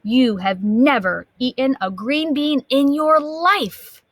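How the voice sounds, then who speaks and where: A woman's voice: high voice, takes it slowly, no echo, pitched above the performer's own voice. A nasty green bean boss from a children's storybook, put on by a woman. He is contemptuous and taunting, with an evil sneer. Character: evil, sneering male leader of living green beans.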